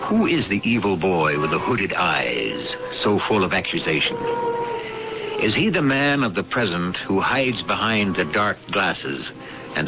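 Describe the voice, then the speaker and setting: A man performing as the portentous, theatrical host and narrator of a mystery radio drama, speaking in measured scripted phrases. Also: low voice, full character voice. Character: ominous storyteller host of mystery tales. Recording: dull, noisy old radio broadcast recording. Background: music.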